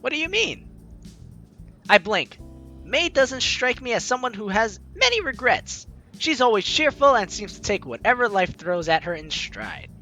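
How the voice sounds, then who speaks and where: Female let's-play host, bright lively voice, swings between reading aloud and chatty reactions, faint music behind. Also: fairly high pitch, moderate pace. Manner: animated, chatty reactions to the story. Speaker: gaming channel host. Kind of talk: casual let's play commentary, reading game text aloud. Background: game music.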